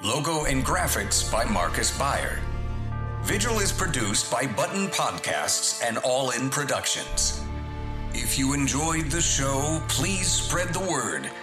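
Slow-paced male announcer over music. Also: mid-range voice, very animated, echoey room. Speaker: credits announcer. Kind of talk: scripted announcement.

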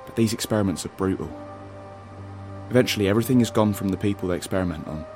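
An actor playing an earnest, protective and slightly nerdy rebel in an audio drama, explaining things insistently. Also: male voice, sits low, fast, a little mellow, close to an everyday voice. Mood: grave, insistent. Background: music.

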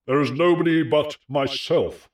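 A man voicing an immense, weary giant in a storybook, boastful and hearty, with a grandly deliberate delivery. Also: distant mic, natural voice. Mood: coaxing, measured. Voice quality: big, deep, rough voice as scripted.

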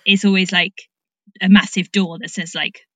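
A woman hosting an interview in casual chat, informal and expressive as she reacts and shares her own anecdotes. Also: medium pitch, normal speed, dry recording. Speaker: podcast host, interviewer.

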